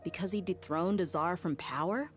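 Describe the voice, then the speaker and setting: Casting: actress for combative, skeptical game heroine, deep female voice, blunt delivery, music underneath. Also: normal speed, lightly acted. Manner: blunt, challenging. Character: tough, outspoken adult woman, skeptical and combative. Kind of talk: video game voice acting.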